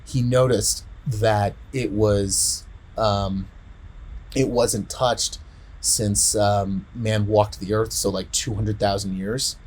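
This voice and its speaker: A man in casual podcast chat, retelling a story in a rambling, halting way and often repeating words. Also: fairly low pitch, steady tone, darkish tone. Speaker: podcast co-host.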